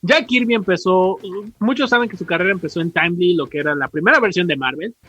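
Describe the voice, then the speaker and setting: Mexican dude w/ high raspy voice rambling on a podcast, kinda dull audio, faint music. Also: male voice, animated, quick pace, pushed and bright, no room sound. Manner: slangy, rambling explanations acted out with quotes. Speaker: podcast co-host. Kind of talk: casual explanatory chat about comics.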